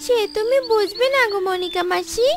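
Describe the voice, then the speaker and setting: A woman's voice: very high-pitched, moderate pace, background noise. A woman voicing an eager, innocent little girl bird in a children's cartoon, with a childlike, pleading way of talking. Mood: curious. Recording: music behind the voice.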